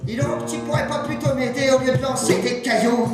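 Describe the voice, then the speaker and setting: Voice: sits high, steady tone, brisk, close to an everyday voice. A performer playing a grumbling, burdened character in a theatrical storybook tale. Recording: very echoey, loud music over it. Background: loud music.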